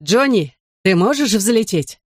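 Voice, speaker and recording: Excited boy played by audiobook narrator, raised pitch, breathless shouts of wonder. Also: takes it slowly, no echo, clean studio sound. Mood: excited calling. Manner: breathless, exclamatory calling out.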